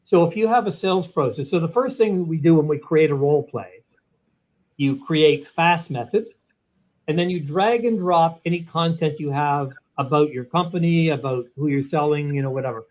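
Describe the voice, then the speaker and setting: A fairly high-voiced man explains a product as an interview guest. He walks through each step one at a time, and his voice lifts with energy on key points. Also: moderate pace, darkish tone. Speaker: guest, sales training software executive.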